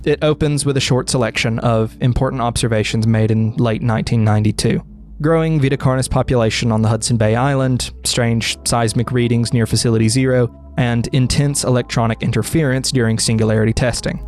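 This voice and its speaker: A man's voice: medium pitch, steady tone, fairly quick, darkish tone. A man giving scripted narration as the in-character narrator of a horror explainer.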